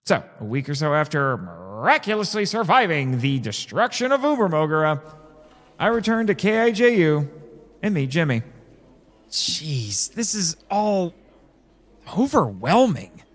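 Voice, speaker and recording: A weary crash survivor and radio host acted by a man in an audio drama. He is dry and self-deprecating, with a very animated, lightly raised pitch and a long reverb around the voice. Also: measured pace. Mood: flustered, bitter. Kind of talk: audio drama acting with first-person narration.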